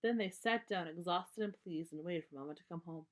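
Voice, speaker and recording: A woman's voice: lowish voice, a little fast. A woman reading a children's storybook aloud, sometimes stumbling over words and repeating them. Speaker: storybook reader.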